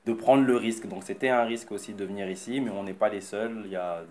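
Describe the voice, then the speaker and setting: Low-voiced man, quick stumbling talk. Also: steady tone, dark tone, slight background noise. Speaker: concept store investor. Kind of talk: interview answers.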